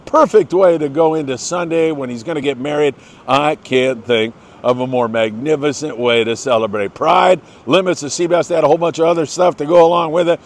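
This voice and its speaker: A man's voice: a little high, fairly quick. An older American man hosting a casual fishing report, chatty, folksy and enthusiastic. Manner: chatty, enthusiastic, folksy, congratulating people by name. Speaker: host of a fishing report show.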